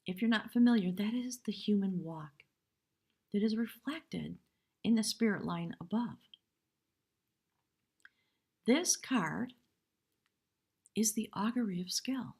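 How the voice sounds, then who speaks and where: A woman with a hoarse voice giving a live spiritual tarot reading in measured, reverent short phrases. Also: mid-range voice, darkish tone. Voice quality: husky, breathy. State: hoarse from much talking. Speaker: tarot reader, live stream host.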